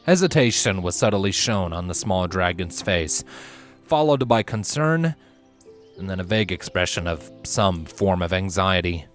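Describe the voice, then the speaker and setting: A man reading a fiction story aloud as its narrator, in an expressive scripted style. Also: low-pitched, measured pace, soft background music.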